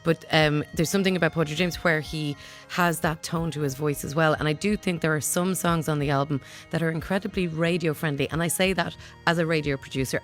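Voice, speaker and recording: Woman on a radio review panel, chatty, lots of ums and kind-ofs, faint music under it. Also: low voice. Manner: chatty, thinking aloud with many hedging fillers. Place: radio studio.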